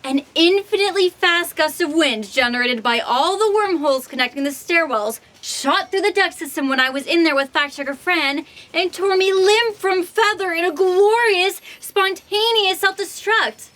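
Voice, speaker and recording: A woman's voice: very high-pitched, normal speed, fairly bright tone. A woman playing a miserable, defiant little bird in a comic audio drama, sullen and taunting with a dramatic delivery. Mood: dramatic, bitter.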